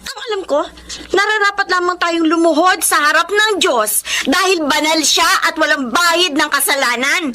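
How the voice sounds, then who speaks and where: A proud young daughter in a radio drama speaks in a very high, bright and forceful voice. She is defiant and emphatic, declaiming each line with conviction. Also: moderate pace, a little hiss or hum. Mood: indignant. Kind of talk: Tagalog radio drama acting.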